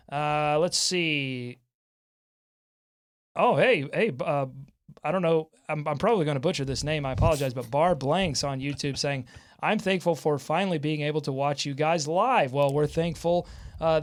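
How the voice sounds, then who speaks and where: A man hosting a live sports talk show in a casual way, often halting as he searches for words. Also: mid-range voice. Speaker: sports podcast host.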